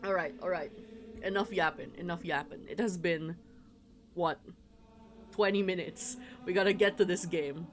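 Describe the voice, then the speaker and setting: A livestreamer chatting casually while playing a game, rambling and excitable, prone to giggling. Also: mid-range voice, moderate pace, slight background noise. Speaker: livestreamer playing a visual novel. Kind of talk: casual live stream commentary with read-aloud game dialogue. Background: game music.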